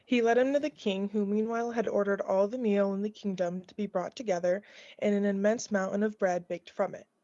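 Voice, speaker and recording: A woman's voice: mid-range voice, steady tone, fairly quick, dark tone, dry recording. A woman reading a children's fairy tale aloud as scripted narration, in a dull, lo-fi recording. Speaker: storybook reader.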